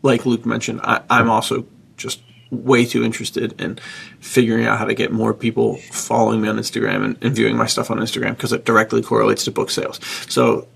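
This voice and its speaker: A man's voice: fairly low pitch, monotone, brisk, creaky, a bit bright, breathy edge. A man, probably middle-aged, chatting casually on a podcast as a host and writer, with informal, bro-like slang.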